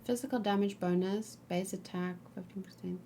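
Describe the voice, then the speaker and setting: A woman hosts a casual gaming stream in a medium-pitched voice. She thinks aloud and mutters to herself as she goes. There is light background noise, with keyboard typing and clicks. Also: moderate pace, mellow. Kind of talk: casual live let's play chat, thinking aloud. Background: background noise, computer keyboard and mouse clicks.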